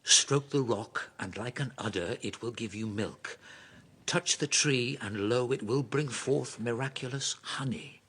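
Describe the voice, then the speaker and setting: Tense, earnest soldier. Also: male voice, medium pitch, unhurried, a bit bright, breathy edge. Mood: hushed awe. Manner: earnest, vivid, pleading testimony. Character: awestruck, earnest soldier reporting to his king. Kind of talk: audio drama monologue.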